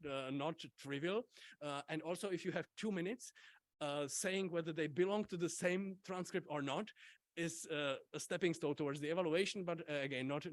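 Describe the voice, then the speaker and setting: Halting Czech-native man giving a talk. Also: on the high side, no room sound. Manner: halting, many fillers and restarts. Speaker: invited conference speaker. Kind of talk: academic conference talk.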